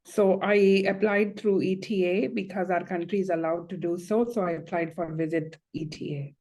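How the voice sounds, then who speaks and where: A woman playing a visiting client in a mock hearing, answering questions in steady, explanatory sentences. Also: fairly low pitch, moderate pace, low-quality recording.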